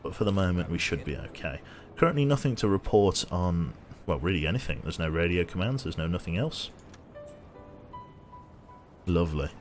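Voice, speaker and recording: A man streaming a game with casual commentary, a little tired, his voice slightly nasal and a bit raspy, chatty as he thinks aloud and thanks viewers. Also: low voice, sing-song, moderate pace, soft background music.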